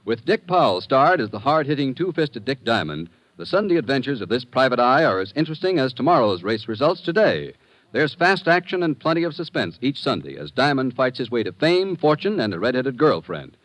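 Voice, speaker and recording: Animated American old-time radio announcer, male. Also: mid-range voice, brisk, poor audio. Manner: polished, emphatic. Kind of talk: 1950s radio announcing, scripted promotion. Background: music.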